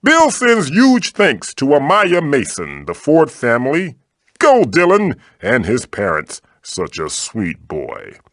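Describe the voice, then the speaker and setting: A man's voice: mid-range voice, huge pitch swings, slow, fairly bright tone, no room sound, clean studio sound, heavily acted. A man voicing a playful, theatrical magical wooden mask spirit for a comic video game, with a wry manner.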